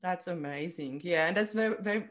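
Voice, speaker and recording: A woman's voice: lowish voice, a little fast. A woman hosting a casual interview in an encouraging, affirming way, with non-native English phrasing. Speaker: interviewer, host.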